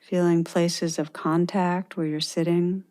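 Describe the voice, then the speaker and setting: A woman leads a guided meditation in a very mellow, relaxed voice that sits a little low. She speaks very slowly and gently, leaving pauses between her instructions as a meditation teacher.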